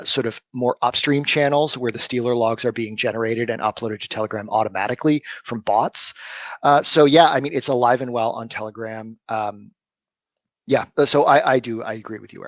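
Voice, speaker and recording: A man's voice: mid-range voice, fairly even intonation, moderate pace, no room sound. Rambling, casual man on a panel. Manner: rambling, hedging with fillers.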